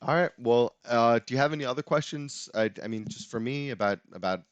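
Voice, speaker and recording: A man's voice: medium pitch, brisk, clean tone, no room sound. A man hosting a casual tabletop gaming talk show. He speaks in a loose, rambling way and laughs often. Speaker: podcast host. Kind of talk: casual chat.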